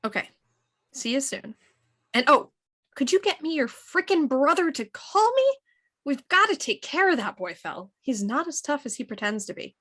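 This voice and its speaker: A woman's voice: sits high, sing-song, normal speed. An actress playing a loving, teasing mother leaving a voicemail for her daughter, heard as a distant phone message. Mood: affectionate. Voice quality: bright, fond, teasing.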